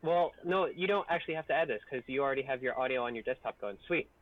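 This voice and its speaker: A man's voice: medium pitch, quick pace, no echo, narrow, tinny audio. A man chatting casually and jokily as a co-host on a group livestream. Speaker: audio editor and co-host on a stream.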